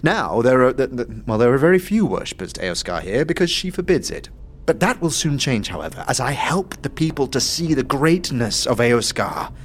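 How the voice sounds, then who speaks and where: A man playing an eccentric, zealous disciple of a portal god in a fantasy audio drama, theatrical and halting, by turns grand and flustered. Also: medium pitch, very animated, husky.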